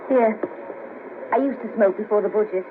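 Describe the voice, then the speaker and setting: A woman's voice: mid-range voice, normal speed, background noise, lightly acted. An actress playing a friendly, blunt, down-on-her-luck Merseyside sex worker in a 1970s radio play. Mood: chatty, friendly. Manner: bawdy, chatty, blunt. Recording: patchy narrow-band cassette of 1970s radio broadcast.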